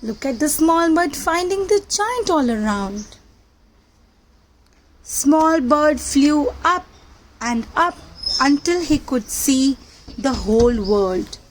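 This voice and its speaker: A woman reading a picture book aloud to young children, with simple deliberate phrasing and questions to the listeners. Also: high voice, animated, low-quality recording. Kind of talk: children's read-aloud storytelling.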